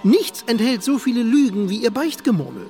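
High, swooping, scornful priest. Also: male voice, very animated, moderate pace, warm tone, faint music behind, heavily acted. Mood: scornful, disgusted. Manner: scornful, ranting. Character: bitter, scornful priest disgusted by his flock. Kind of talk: theatrical radio play monologue.

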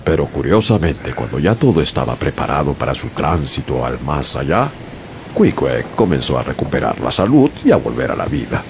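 Sailor-narrator: very deep, measured, radio drama with music. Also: male voice, sing-song, background noise, heavily acted. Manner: measured storytelling. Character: young sailor narrating his voyage.

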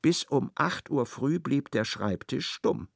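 A man narrating a radio play in an ironic, expressive storytelling manner. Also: medium pitch, takes it slowly, creaky, slightly rough, no room sound, studio quality.

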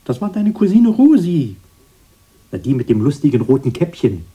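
An actor playing a prim, nostalgic elderly brother in a radio play, earnest and quick to correct. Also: male voice, a little high, sing-song, mellow, breathy edge. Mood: piqued, correcting. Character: elderly brother, proper and nostalgic, easily piqued.

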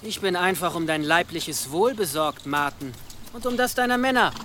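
Casting: courteous medieval servant, light high voice, gently persuasive, radio drama with outdoor noise. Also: male voice, lively intonation, normal speed. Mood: mild, placating. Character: earnest, polite young monk and servant. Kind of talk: audio drama acting. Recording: outdoor ambience noise behind.

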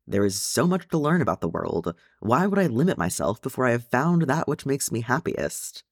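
A man voicing a good-natured young dwarf in an audiobook story, courteous, calm and quietly insistent. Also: mid-range voice, moderate pace, husky, dry recording, studio quality. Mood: thoughtful.

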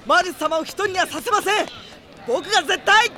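Devoted, boyish young male mage, very high for a man, emphatic radio drama delivery. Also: moderate pace, bright, forceful tone, a faint crowd. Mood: determined. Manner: earnest, polite, emphatic. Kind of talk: Japanese radio drama acting.

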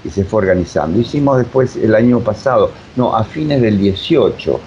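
An older man with an Argentine Spanish accent answers interview questions conversationally, in a halting, digressive way, often repeating himself. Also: expressive, a little mellow. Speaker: interviewed theater director and voice teacher. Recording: dull sound with faint rumbling noise.

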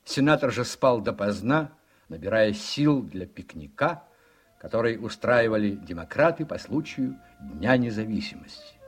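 Expressive male radio drama narrator. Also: mid-range voice.

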